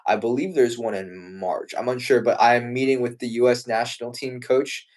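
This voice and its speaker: A man's voice: medium pitch, fairly even intonation, normal speed, a little mellow. A young man from the United States, a martial arts competitor chatting casually and earnestly, often restarting his sentences. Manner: earnest, thoughtful, with frequent restarts. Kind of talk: casual live-stream chat.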